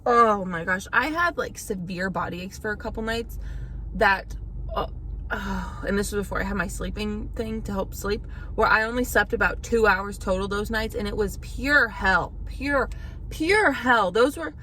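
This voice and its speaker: Woman vlogger, slightly hoarse, rambling casual chat, mid-pitched voice, faint background noise. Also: normal speed, sharp tone. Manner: rambling, with many fillers and restarts.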